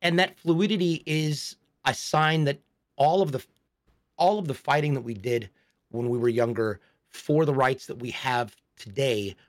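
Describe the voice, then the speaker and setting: A man's voice: mid-range voice, unhurried. A man in his forties, a podcast co-host, telling a personal story in a candid, reflective way. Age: 40s. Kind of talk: casual chat.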